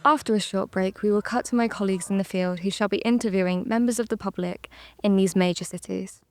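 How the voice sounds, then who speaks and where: A woman's voice: medium pitch, fairly even intonation, fast talker, darkish tone, soft background music, close to an everyday voice. A young woman playing a composed evening news presenter reading scripted bulletins. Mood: composed, grave. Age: young adult.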